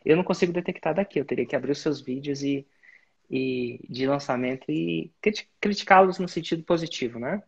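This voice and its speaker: A man's voice: fairly high pitch, fairly quick, creaky, breathy edge, lo-fi sound. A man hosting a live coaching session, giving direct, instructive advice to students. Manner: direct, instructive, uses sports-belt metaphors. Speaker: business mentor and host.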